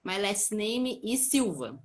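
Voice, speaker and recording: A woman's voice: mid-range voice, sing-song, slow pace, echoey room. A Brazilian woman joining a lesson as a student guest, nervous and eager, giving short answers. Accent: Brazilian Portuguese.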